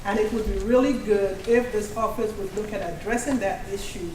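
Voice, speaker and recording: A woman with a cold asks her question earnestly, restarting and correcting herself as she goes. She sounds distant in a roomy, echoing hall, with steady background noise. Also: mid-range voice, moderate pace. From Nigeria. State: has a cold. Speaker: special education advocate, audience member. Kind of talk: public question at a community meeting. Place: community meeting hall.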